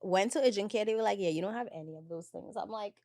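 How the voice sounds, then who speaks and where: A woman hosting her own podcast, chatting casually and animatedly about her work, still slightly hoarse. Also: mid-range voice, quick pace, no room sound, studio quality. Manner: chatty and animated, laughing often. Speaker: podcast host, makeup artist. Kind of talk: casual solo podcast chat.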